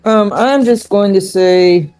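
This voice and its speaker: Female tabletop player: unhurried, hesitant, dry delivery, mid pitch, casual improvised chat. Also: dark tone, clean tone. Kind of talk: casual, unscripted in-game chat.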